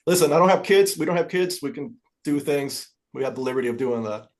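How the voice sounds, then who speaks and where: A man in his forties chatting as a podcast guest, friendly and quick to joke. His voice sits at a medium pitch. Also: expressive. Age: 40s. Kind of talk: casual chat.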